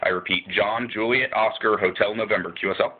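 A man talking as a ham radio operator, relaxed and easygoing, laughing now and then as he spells call signs phonetically. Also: on the low side, brisk, phone-quality sound, very noisy. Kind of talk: radio contact chat.

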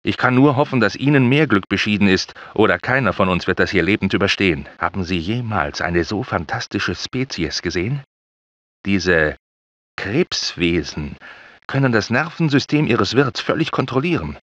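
A man's voice: expressive, moderate pace, a bit bright, dry recording. An actor playing an anxious, well-spoken male scientist in a dubbed video game, polite and earnest. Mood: worried, earnest. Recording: dull low-fidelity game audio.

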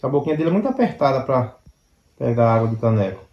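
A man's voice: medium pitch, very animated, fast, reverberant. A man chimes in from the background in a plain, matter-of-fact way. His voice rings around a reflective room and sounds dull and lo-fi, as if picked up from off camera. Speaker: vlogger's partner.

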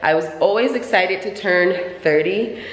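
A woman's voice: mid-range voice, roomy sound, in a large hall, low-quality recording. A woman in her twenties talking casually and playfully to a camera held at arm's length in a hotel room. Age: 20s. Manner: playful, chatty, drawn-out emphasis. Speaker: vlogger.

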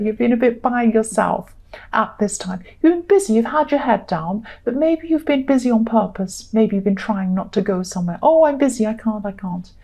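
A woman's voice: mid-range voice, mellow. A woman giving a conversational tarot reading, speaking straight to the listener in a reassuring way and often repeating her points. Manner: repetitive, reassuring. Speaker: tarot reader.

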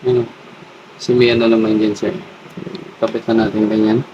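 A man with a Filipino accent giving a casual hands-on demo, haltingly thinking aloud as he works. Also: on the low side, little pitch movement, dark tone, muffled recording. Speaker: motovlog host. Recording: distant mic with clear background noise.

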